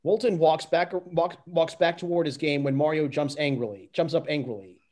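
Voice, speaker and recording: A man with a slightly high voice narrates stage directions from a script. It is an amateur reading, and he often trips on words and starts again. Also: normal speed, a little mellow. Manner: stumbles and restarts over the text.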